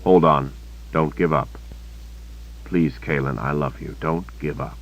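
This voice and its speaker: A man voicing a firm, gentle young hero. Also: rumbling low, steady tone, measured pace, lo-fi sound, heavily acted. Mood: hushed. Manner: firm, then gentle and hushed. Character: resolute young male hero and wizard.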